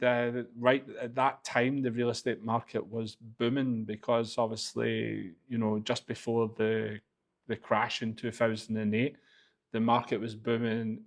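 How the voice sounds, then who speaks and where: A Glaswegian man in his forties, director of a property agency, telling his career story to camera in a casual, loose way. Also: mid-range voice. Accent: Glaswegian Scottish. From Glasgow, Scotland. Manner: casual, loose, frequent fillers. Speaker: real estate agency director.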